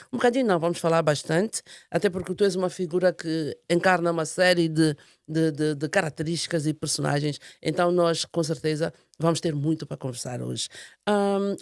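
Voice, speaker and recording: A woman's voice: on the low side, moderate pace, darkish tone, dry recording, clean studio sound. A woman hosting an interview, chatty and curious, asking many short questions. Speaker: talk show host. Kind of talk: casual interview conversation.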